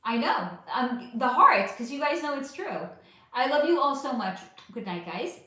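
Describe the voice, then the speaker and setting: A woman's voice: sits high, big pitch swings, normal speed, bright, forceful tone. A woman hosting a casual livestream chat, profane and affectionate, losing her voice. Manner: chatty, profane, affectionate with viewers. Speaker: livestream host. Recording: very echoey room.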